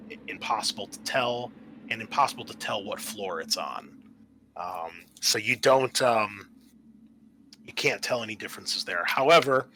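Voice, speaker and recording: A man narrating a tabletop horror game as game master, pausing often as he describes the scene. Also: mid-range voice, bright, forceful tone, slight background noise.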